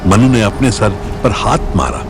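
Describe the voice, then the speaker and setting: A man's voice: fairly low pitch, big pitch swings, measured pace, music in the background. A man narrating a Hindi audio story in a dramatic scripted style.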